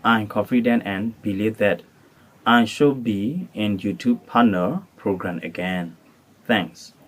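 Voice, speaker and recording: A man's voice: lowish voice, takes it slowly. An English-speaking man from Thailand, making a formal, careful prepared appeal as a channel creator. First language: not English. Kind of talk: prepared spoken appeal to camera.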